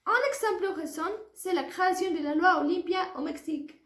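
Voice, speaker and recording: A young woman with a high voice and a Mexican accent, a native Spanish speaker. She delivers a prepared student presentation in a careful, formal way. The sound is noticeably echoey, as from a reverberant room. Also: brisk, a bit bright. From Puebla, Mexico. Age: early 20s. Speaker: language student. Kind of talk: prepared oral presentation for a French class.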